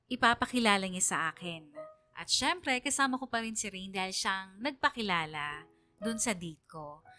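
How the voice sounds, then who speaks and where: A woman with a Filipino accent narrates a first-person radio story. Her voice is bright and crisp in tone at a medium pitch. Faint music runs beneath the narration. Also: no room sound.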